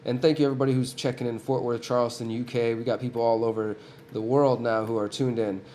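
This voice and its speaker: A man's voice: medium pitch, flat delivery, fast, dark tone, slight background noise. A man with a cold hosting a weekly live stream, talking earnestly and directly to his viewers, often restarting his sentences. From United States. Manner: earnest, talks straight to viewers, frequent restarts. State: has a cold. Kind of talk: casual live-stream commentary.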